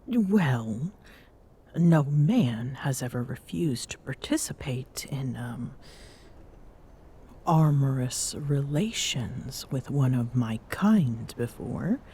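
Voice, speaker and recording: Give a very slow, dark-toned Amazonian queen, acted by a woman for an intimate ASMR roleplay. She is haughty yet smitten, murmuring formal endearments with coy hesitations in a soft, breathy voice that often sinks toward a whisper. A faint noise sits behind her. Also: a bass voice, animated, exaggerated voice. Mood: coy, hesitant. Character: smitten, regal Amazonian warrior queen, teasing and possessive.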